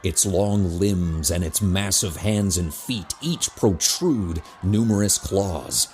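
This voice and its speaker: Low-voiced, expressive male narrator. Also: slow pace, a little hiss or hum. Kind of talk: dramatic scripted narration.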